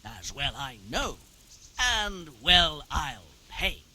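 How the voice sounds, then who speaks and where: Sly, bright-voiced scheming townsman. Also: on the high side, sing-song, extremely slow, exaggerated voice. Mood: pleased, scheming. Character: sly, greedy townsman who commissions thefts. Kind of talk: dramatic video game dialogue.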